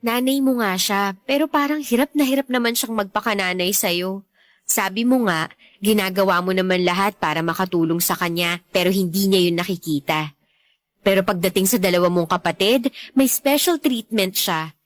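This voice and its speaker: A woman's voice: mid-range voice, normal speed, dry recording, close to an everyday voice. Woman acting caring young Filipino girlfriend, dry sound. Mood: concerned, affectionate. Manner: casual, earnest. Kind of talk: Tagalog radio drama dialogue.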